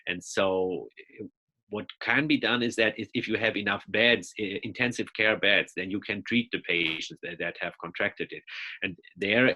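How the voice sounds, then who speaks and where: German-accented male guest, lowish voice, bright edge, halting delivery with frequent fillers. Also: rough recording. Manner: hesitant, many fillers and restarts. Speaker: interview guest. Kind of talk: video call interview. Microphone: video call.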